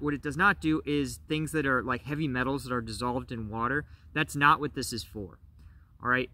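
Bright-voiced man reviewing gear outdoors. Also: a little high. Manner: conversational, frequent "right?" and fillers. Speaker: gear review channel host. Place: outdoors in a bare winter forest.

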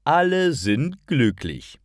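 A man reading scripted stories for language learners with careful, deliberate enunciation. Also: medium pitch, sing-song, vocal fry, dry recording, studio quality. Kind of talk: scripted story narration for learners.

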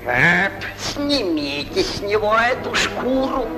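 Pompous boa constrictor boss: commanding, high, slow and deliberate, for a vintage satirical cartoon. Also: male voice, big pitch swings, heavily acted. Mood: smug, commanding. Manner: pompous, barking orders. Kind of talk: 1940s Soviet animated satire acting. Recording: old film soundtrack with music.

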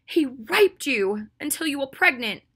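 Woman acting fierce, distraught young woman, raised pitch. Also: lively intonation, a little slow, dark tone, a little hiss or hum. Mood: devastated rage. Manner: shaking with rage, cold when accusing. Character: fierce, devastated young woman, protective, full of loathing. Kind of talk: audiobook character acting.

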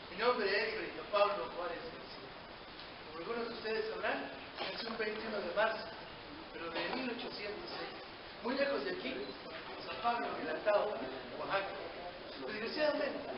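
Brief: man playing a dignified Mexican statesman, declamatory storyteller, higher voice, distant lo-fi camera sound, room noise behind. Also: close to an everyday voice. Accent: Mexican Spanish. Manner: solemn, declamatory storytelling to children. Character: dignified male 19th-century president recounting his life. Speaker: costumed historical reenactor. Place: large echoey room in a historic house. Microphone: far camera, no mic. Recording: distant echoey lo-fi camcorder audio. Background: murmuring children, room ambience.

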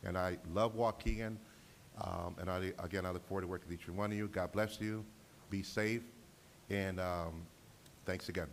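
A middle-aged man, a city council member, giving an earnest, halting thank-you speech at a public meeting. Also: low-pitched, fairly even intonation. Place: large council chamber, indoors. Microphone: gooseneck desk microphone. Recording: noticeable background noise and hiss.

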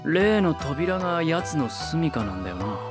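A blunt young cartoon schemer, sure of himself. His delivery is clipped yet animated in pitch, with music underneath. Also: a little slow. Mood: scheming, confident. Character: self-assured, blunt young male schemer.

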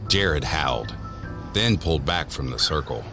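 A man giving measured, deliberate narration for a scripted horror audio drama. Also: rumbling low, animated. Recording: voice over background music.

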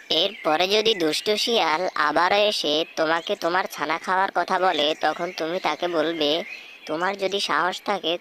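Woman as wise peacock, low-pitched, kindly, advising, animated folk tale, light background noise. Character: kindly, wise male peacock giving firm advice.

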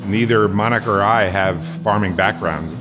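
A man's voice: on the low side, moderate pace, over music. A middle-aged man from Brooklyn speaking as a farm cafe owner in a documentary interview. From Brooklyn, New York.

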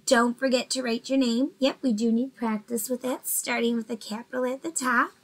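A woman in her forties with a fairly high voice, teaching a math lesson to small children. She speaks gently and encouragingly, checking in with the kids through questions. She is recorded from her bedroom on a laptop webcam. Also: fairly even intonation, moderate pace. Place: bedroom at home, indoors.

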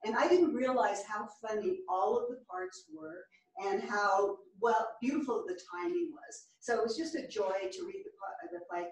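A woman's voice: on the high side, sing-song, normal speed. A woman, a stage actress, talking informally about the part she played. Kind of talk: informal post-performance reflection. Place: small theatre stage, distant camera. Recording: very echoey theatre, far from mic.

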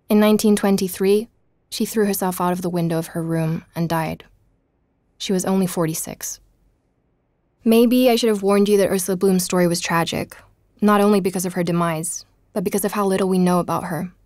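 A woman playing a young journalist who narrates her own podcast about a vanished art student, earnest and intrigued, recording in a bedroom with soft music underneath. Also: on the low side, no room sound, close to an everyday voice. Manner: earnest, intrigued, speaking to listeners. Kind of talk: scripted audio drama narration. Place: home bedroom.

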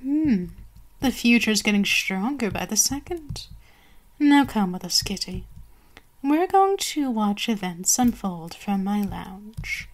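A cruel, seductive vampire queen, acted by a woman for an ASMR roleplay. She speaks very slowly, savoring each command with haughty, gloating authority. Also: medium pitch, sing-song. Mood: proud, gloating.

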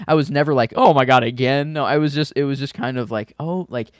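A man's voice: medium pitch, fast, dry recording, clean studio sound. A man co-hosting a podcast with a stuffed-up nose, talking in a rambling, hesitant way with lots of restarts. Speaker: podcast co-host. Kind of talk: casual film-discussion chat.

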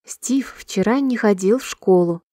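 A woman reading short sentences slowly and clearly for a language-listening drill, repeating each one in a measured, even way. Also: medium pitch. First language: Russian. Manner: clear, measured, evenly paced repetition. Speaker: Russian sentence reader.

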